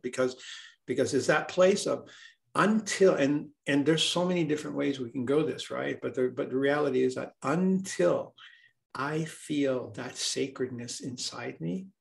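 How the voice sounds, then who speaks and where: A man's voice: medium pitch, vocal fry. A man from Hawaii, a healer speaking as an interview guest, talking earnestly and reflectively, often repeating words as he searches for them.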